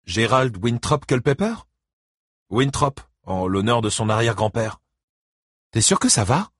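A man's voice: medium pitch, expressive, unhurried, fairly bright tone, no echo, studio quality, pitched above the performer's own voice. A man voicing a concerned, exasperated ex-wife and grandmother, speaking emotionally in an audiobook novel. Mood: sardonic, lively. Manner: exclaiming, emotional.

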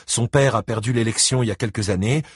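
A man's voice: mid-range voice, fast talker, breathy edge, dry recording, clean studio sound, voice pushed up, lightly acted. A man voicing a concerned, exasperated ex-wife and grandmother, speaking emotionally in an audiobook novel. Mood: sardonic, lively. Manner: exclaiming, emotional.

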